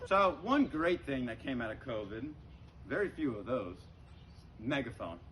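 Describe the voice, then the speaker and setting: A man's voice: medium pitch, very animated, moderate pace, a bit bright. A man performing stand-up comedy, telling stories conversationally to a laughing live audience and often saying "you know". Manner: conversational, frequent "you know", acts out dialogue. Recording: noisy live recording with room ambience.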